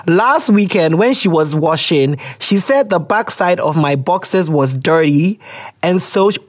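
A woman's voice: lowish voice, a little slow. A woman with a Ghanaian English accent hosting a podcast, reading out listener letters in a loose, swear-heavy, laughing way. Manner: laughs a lot, swears, animated reactions. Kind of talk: casual chat.

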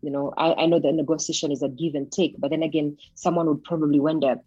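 A woman's voice: low-pitched, steady tone, quick pace, warm tone, slightly rough, highs cut off, soft background music. Woman hosting an interview podcast. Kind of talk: scripted podcast introduction and interview.

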